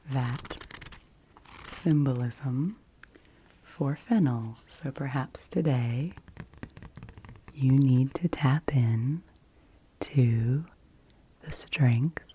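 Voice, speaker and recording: A woman hosting ASMR in a soft, breathy voice that often drops to a whisper, speaking in a gentle, hushed, unhurried way. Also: rumbling low, a little hiss or hum, rough recording. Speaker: ASMR video host.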